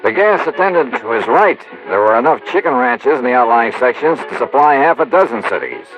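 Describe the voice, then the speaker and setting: A man playing a breezy, probing insurance investigator in an old American radio detective drama, crisp and wry. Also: mid-range voice, sing-song, fairly quick, over music. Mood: brisk, wry. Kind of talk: 1950s radio detective drama, dialogue and first-person narration. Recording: dull, noisy old radio recording.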